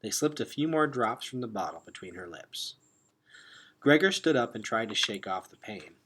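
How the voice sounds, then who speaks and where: A man reading a children's novel aloud with expressive, dramatic emphasis, sometimes hushed. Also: lowish voice, moderate pace, slight background noise. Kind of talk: expressive storybook read-aloud.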